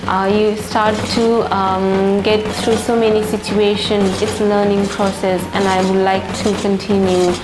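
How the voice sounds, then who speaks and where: A woman's voice: mid-range voice, level tone, unhurried, few pauses, low-quality recording. A woman from Nepal with a Nepali accent, speaking as a group leader in a documentary interview. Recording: music under the voice.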